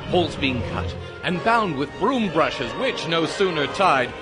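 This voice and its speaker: A man's voice: fairly high pitch, sing-song, a little slow. A man narrating a spooky Halloween tale as a dramatic, vivid storyteller in a scripted audio drama. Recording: music under the narration.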